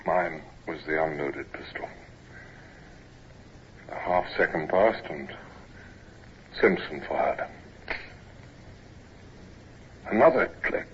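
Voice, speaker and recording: An actor playing an older naval captain who narrates memories of his youth in a measured, dramatic radio style. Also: extremely low pitch. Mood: tense. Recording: muffled, noisy old radio recording.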